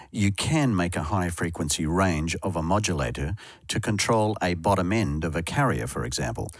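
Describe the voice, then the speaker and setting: A man reading a scripted instructional narration in a measured, explanatory way. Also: low-pitched, no echo, studio quality. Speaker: software tutorial narrator.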